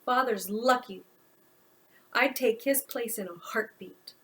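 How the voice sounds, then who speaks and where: A woman's voice: a little high, a little slow. A woman voicing a blunt, exasperated young man who answers his mother, in a storybook reading. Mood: angry.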